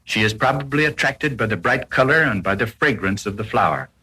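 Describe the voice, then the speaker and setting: A man narrating a 1940s educational film in a formal, didactic, carefully explanatory way, with a slightly rough, husky voice, on an old film soundtrack. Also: medium pitch, lively intonation, brisk, bright, forceful tone, poor audio.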